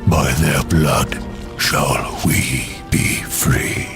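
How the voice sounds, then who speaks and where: Cursed guardian giant, immensely deep, ponderously slow, solemn, weary, fantasy game. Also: male voice, steady tone, exaggerated voice. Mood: weary, resigned. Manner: mournful, solemn, measured. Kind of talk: dramatic fantasy game acting. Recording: music behind the voice.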